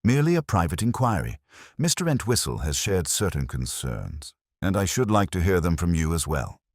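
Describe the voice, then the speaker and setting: A man voicing a calm, curt gentleman detective with a smooth, formal manner in an audiobook story. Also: low-pitched, normal speed, dry recording, studio quality, exaggerated voice.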